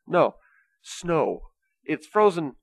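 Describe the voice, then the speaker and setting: A man's voice: on the high side, big pitch swings, drawn-out words, vocal fry, dry recording, heavily acted. A man playing a kindly, patient Saint Nicholas who reasons earnestly, in an amateur comedic radio play.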